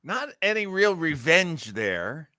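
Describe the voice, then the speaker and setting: A man hosting a podcast, giving animated, jokey, casual commentary on old radio shows. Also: a little high, slow pace, loud music behind the voice. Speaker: podcast host.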